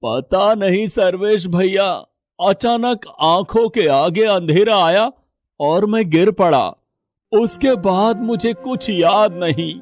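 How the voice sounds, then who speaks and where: A man voicing an aging poor fisherman in a cartoon story, speaking softly and reassuringly. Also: high-pitched, slow pace, dry recording, lo-fi sound. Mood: worried. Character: aging poor male fisherman, weary and gentle.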